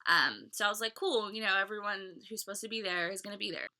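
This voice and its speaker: Bright-voiced stuffy young woman vlogging. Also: medium pitch, fast talker. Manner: chatty, rambling with many fillers and restarts. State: stuffy, voice a little off from a cold. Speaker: vlogger reviewing a fan convention. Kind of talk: casual talk to camera. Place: small bedroom, indoors.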